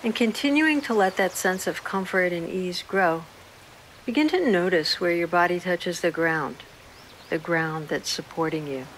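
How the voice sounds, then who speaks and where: A woman with a fairly low voice leads a guided meditation, speaking slowly and soothingly with gentle pauses. Faint outdoor nature ambience sits quietly behind her. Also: slight background noise, rough recording.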